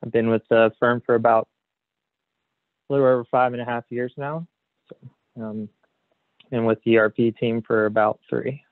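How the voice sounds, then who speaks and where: A man presenting a software webinar as a consultant, explaining slides in a hesitant way padded with "you know". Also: mid-range voice, level tone, normal speed, pauses often. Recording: phone-like narrow band, roomy echo.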